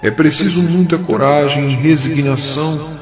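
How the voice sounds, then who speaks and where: A man playing a kindly spirit mentor in an audio drama, preaching in a measured, earnest and solemn way. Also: a little high, steady tone, lightly acted. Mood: tender, reassuring. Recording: music behind the voice. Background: music.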